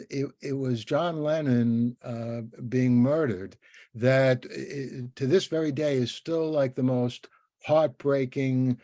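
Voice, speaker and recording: Older guy in his 70s doing an interview, soft mellow voice, dry sound, kinda rambles and restarts. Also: mid-range voice, no room sound. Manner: halting, thoughtful, rambling with many restarts. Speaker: interviewer and host. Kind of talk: conversational interview.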